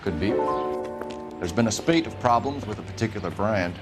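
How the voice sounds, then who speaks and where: A man's voice: fairly low pitch, animated, moderate pace, over music, natural voice. An actor playing an earnest, driven male police detective in a video game, with a clipped, businesslike manner. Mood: guarded. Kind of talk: naturalistic video game acting.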